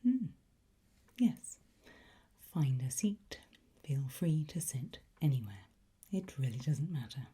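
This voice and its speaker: A woman doing an ASMR teacher roleplay in a breathy whisper, giving slow, deliberate, coaxing instruction with pauses. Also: rumbling low, very animated, warm tone. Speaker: ASMR performer playing a language teacher.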